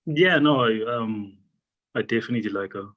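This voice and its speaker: A man's voice: fairly low pitch, animated, moderate pace, highs cut off. A man on a video call, hesitant and noncommittal, repeating himself. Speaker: guest.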